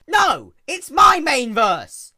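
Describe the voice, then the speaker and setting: A woman's voice: sits high, big pitch swings, drawn-out words, sharp tone, pitched above the performer's own voice, exaggerated voice. A woman voicing a regal, haughty pony princess with grand, formal diction, easily outraged, in a story reading.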